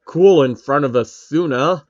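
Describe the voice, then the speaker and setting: A man's voice: fairly high pitch, measured pace, no room sound, highs cut off, close to an everyday voice. A man voicing a cocky young male swordsman hero with a casual, defensive manner in a fan fiction story.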